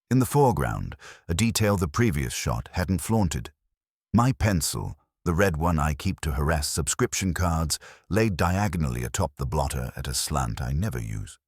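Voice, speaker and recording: A man's voice: deep voice, no room sound, studio quality. A man reading a scripted detective story as narrator, in a wry first-person hardboiled manner.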